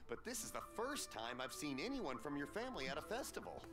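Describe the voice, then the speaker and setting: An actor playing a friendly, generous middle-aged stall keeper in a video game, with a hearty, folksy, chatty manner. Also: male voice, fairly high pitch, very animated, a little fast. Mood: friendly, impressed. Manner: hearty, folksy, chuckles between phrases. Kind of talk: video game dialogue acting. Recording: game music behind the voice.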